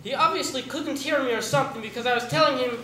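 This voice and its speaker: A man's voice: very high-pitched, quick pace. Indignant young man in an echoey room. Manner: indignant, exasperated, laughing often. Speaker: improv player as a bomber pilot. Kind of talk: improvised comic storytelling. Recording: echoey room with noise, amateur video.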